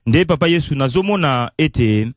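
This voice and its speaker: A man's voice: a little high, expressive, moderate pace, no echo. A man with a Congolese accent hosting a conversational radio show, often repeating and rephrasing his phrases. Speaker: radio host. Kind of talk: conversational radio talk, code-switching.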